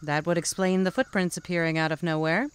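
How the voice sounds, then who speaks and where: A woman's voice: lowish voice, steady tone, a little fast, clear, resonant tone, dry recording, lightly acted. A woman playing a composed, polite, dryly suspicious lady in a comedic audio drama. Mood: dry, questioning.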